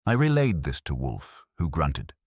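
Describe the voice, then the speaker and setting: Very deep-voiced man narrating detective fiction. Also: wildly swooping pitch, a little slow, a little mellow, husky, no room sound, clean studio sound. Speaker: audiobook narrator. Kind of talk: first-person hardboiled detective narration.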